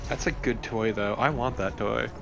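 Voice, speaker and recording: A man's voice: mid-range voice, moderate pace, gravelly, fairly bright tone, soft background music. A man, a young adult, chatting casually as co-commentator over gameplay, conversational and swearing freely. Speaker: co-commentator on a let's play.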